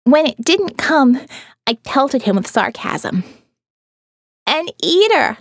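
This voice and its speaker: A woman narrating an audio novel in the first person, dramatic, expressive and wry. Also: sits high, takes it slowly, no room sound, studio quality.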